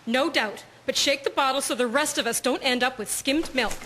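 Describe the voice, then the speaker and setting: A woman playing a brisk, fretful 1920s housewife and mother in an audio drama. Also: sits high, natural voice. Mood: bossy. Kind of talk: scripted audio drama acting. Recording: clear hiss behind the voice.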